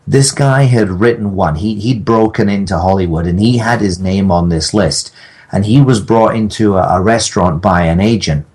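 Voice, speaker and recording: A man chatting casually as a podcast guest, telling stories in a halting, rambling way. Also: lowish voice, darkish tone, breathy edge. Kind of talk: casual anecdotal chat.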